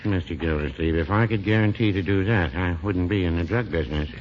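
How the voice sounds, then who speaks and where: An actor playing a mild, cautious American druggist with a hesitant, deadpan way of talking, in 1940s radio comedy style. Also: male voice, low-pitched, brisk, lightly acted. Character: mild, cautious, dry small-town druggist. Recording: muffled, noisy 1940s radio broadcast.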